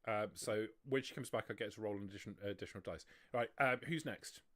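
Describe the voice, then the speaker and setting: A man's voice: lowish voice, fast, fairly bright tone, no room sound. Man game master with a dry, close sound. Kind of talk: running a tabletop roleplaying livestream, casual rules talk.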